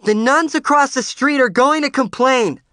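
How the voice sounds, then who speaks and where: A man voicing a bossy, easily offended teenage girl who scolds and yells in an audiobook story. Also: mid-range voice, expressive, moderate pace, no echo, clean studio sound, voice pushed up, close to an everyday voice. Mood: yelling. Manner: yelling, scolding, indignant.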